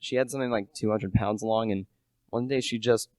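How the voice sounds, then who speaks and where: A man's voice: lowish voice, fairly even intonation, fairly quick, darkish tone, dry recording, close to an everyday voice. A teenage male actor playing an earnest, humble British salesman in a radio play, chatty and a little hesitant. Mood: eager, chatty. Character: earnest, humble British relish salesman narrating a murder mystery.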